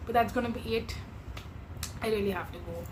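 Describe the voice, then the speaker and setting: A woman's voice: a little high, fairly quick. A young woman reviewing beauty launches in casual, rambling chat, full of fillers and self-corrections, her voice wearing out. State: losing her voice, tiring. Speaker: beauty vlogger. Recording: steady background noise.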